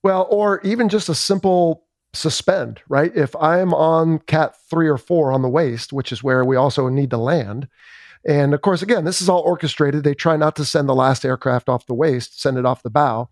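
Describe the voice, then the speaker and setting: A man's voice: fairly high pitch, moderate pace, no room sound, clean studio sound. A man hosting a podcast interview in a casual, wry, conversational way, talking like a former military pilot. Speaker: podcast host, former Navy fighter pilot.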